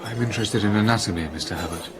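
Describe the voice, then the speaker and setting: A man playing a troubled young gentleman scientist in a radio drama, speaking formally, earnest and hesitant. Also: lowish voice, rapid-fire, crowd noise, natural voice. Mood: polite. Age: young adult.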